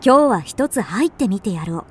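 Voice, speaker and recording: Actress as animated dreamer's narrating voice. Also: female voice, medium pitch, moderate pace, a little hiss or hum, lightly acted. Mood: resolute. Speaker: actor narrating a radio drama. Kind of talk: dramatic first-person literary narration.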